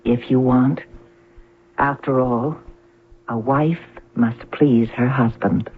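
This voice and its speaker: A woman's voice: rumbling low, steady tone, slow pace. An actress playing a sweet, demure young female android in a 1970s radio drama. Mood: demure, agreeable. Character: young female android, sweet, compliant, artlessly charming fiancee. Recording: tinny, noisy old radio recording with hum.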